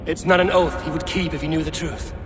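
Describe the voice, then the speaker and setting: A male actor as a resolute Viking warrior. He speaks in short, firm, measured phrases, persuasive and merciful, as in a dramatic game scene with prominent music behind him. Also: fairly high pitch, steady tone, close to an everyday voice. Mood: firm, persuading. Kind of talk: dramatic video game acting.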